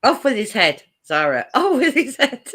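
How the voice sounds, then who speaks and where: A woman's voice: a little high, a bit bright, breathy edge. A woman co-hosting a casual live chat stream, teasing and laughing often.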